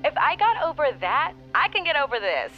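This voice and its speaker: A woman's voice: sits high, very animated, a little fast, lo-fi sound, soft background music. An actress playing an affectionate, teasing young mother and close friend, through a telephone filter in an audio drama. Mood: teasing, happy.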